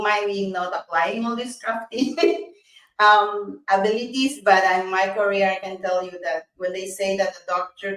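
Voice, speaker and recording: A woman's voice: medium pitch, fairly bright tone, far from the mic. Peruvian woman on a roomy video call. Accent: Peruvian Spanish. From Peru. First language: Spanish. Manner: earnest, many restarts and fillers. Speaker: dentist, guest. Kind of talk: casual interview chat. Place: small office, indoors. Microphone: video call webcam.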